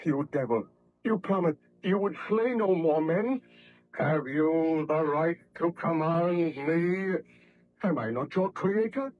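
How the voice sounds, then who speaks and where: An actor playing an anguished, horrified young scientist baron in impassioned, theatrical 1930s radio drama style. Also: male voice, on the high side, lively intonation, slow pace, dry recording. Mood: indignant. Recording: dull lo-fi old radio recording.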